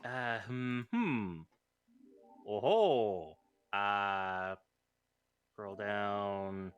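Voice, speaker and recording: A man's voice: on the low side, lively intonation, very slow, clean tone, lo-fi sound, soft background music. A man co-commentating on a game stream in casual chat.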